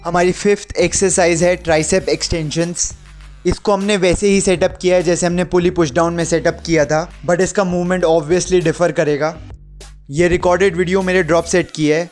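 A young man explains exercises as a home workout instructor. His voice sits a little high for a man, and he slips English gym terms into his narration. Soft music plays behind him. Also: level tone, moderate pace. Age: young adult.

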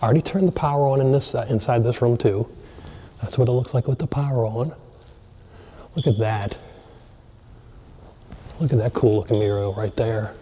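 A man excitedly narrating a walkthrough of an empty mansion, chatting to viewers as he films. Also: mid-range voice, moderate pace, pauses often, breathy edge. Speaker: abandoned places explorer. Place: large empty mansion, indoors.

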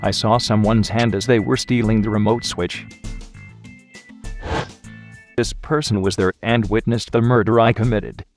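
A man voicing a bitter, twitchy male suspect and gadget geek with a halting delivery in a game fan dub. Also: fairly low pitch, fairly even intonation, faint music behind, close to an everyday voice. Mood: insistent.

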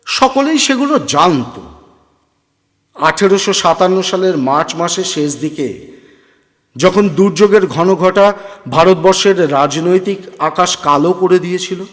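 A man narrating a scripted audio story in a measured, theatrical and literary manner. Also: high voice. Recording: long reverb, music bed.

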